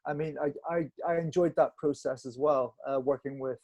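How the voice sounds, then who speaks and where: A man talks as a director and guest on a casual panel. He phrases things haltingly, pausing and restarting as he gathers each thought, at a medium pitch. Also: steady tone, a little mellow, dull audio.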